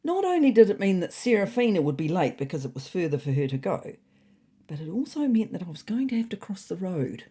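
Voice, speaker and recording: A woman's voice: fairly low pitch, sing-song, a little fast, mellow, breathy edge, voice pushed up. A woman voicing a fussy, anxious male cat who narrates his comic diary in a prim, wordy, faintly indignant way.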